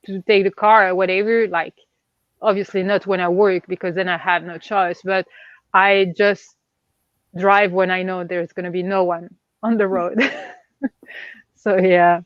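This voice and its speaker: A woman's voice: fairly low pitch, steady tone, a little slow. A woman from Belgium, chatting casually in English as co-host of a live stream. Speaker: co-host of a book readalong stream. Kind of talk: casual chat. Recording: dull, roomy lo-fi microphone sound.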